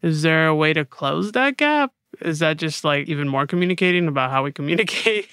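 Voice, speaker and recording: A woman's voice: low-pitched, expressive, brisk, fairly bright tone, smooth, no echo, studio quality. A woman hosting a podcast interview in casual chat, inquisitive and a little self-deprecating.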